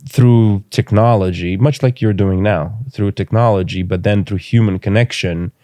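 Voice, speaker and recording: A man from Romania, a native Romanian speaker, speaking reflectively as an interview guest and pausing thoughtfully to choose his words. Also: fairly low pitch, steady tone, mellow, no echo. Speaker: podcast guest, filmmaker.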